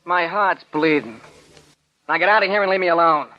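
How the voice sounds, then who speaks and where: An actor playing a defiant, sneering young criminal in jail, curt and slangy, in a 1940s radio drama. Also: male voice, fairly high pitch, fairly quick, lightly acted. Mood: defiant, angry. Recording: dull, noisy old 1940s radio recording.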